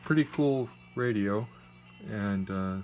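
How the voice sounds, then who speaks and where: A man giving a casual, unscripted demonstration in a halting, tentative, plain-spoken way. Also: fairly low pitch, a little mellow, faint music behind. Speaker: radio collector giving a demonstration. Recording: noisy amateur home video recording.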